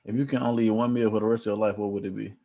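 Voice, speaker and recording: Low-voiced man asking quick questions. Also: little pitch movement, mellow, lo-fi sound. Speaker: interviewer. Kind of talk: casual interview questions.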